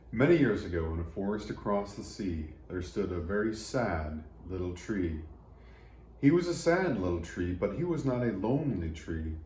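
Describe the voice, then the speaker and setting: A man reading a children's story aloud in an expressive storytelling manner. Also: sits low. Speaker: storybook reader for church children. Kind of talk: scripted children's story narration. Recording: echoey room, faint background noise.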